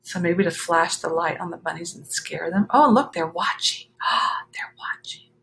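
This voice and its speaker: A woman reading a children's picture book aloud expressively, with dramatic drawn-out phrasing and chatty asides. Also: on the low side, vocal fry, a bit bright. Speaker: children's story reader.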